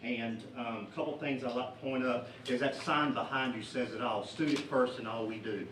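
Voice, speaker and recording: A middle-aged man, a parent, giving public comment at a school board meeting, earnest and halting with many fillers. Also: mid-range voice, fairly even intonation. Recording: echoey meeting room, distant mic, noisy.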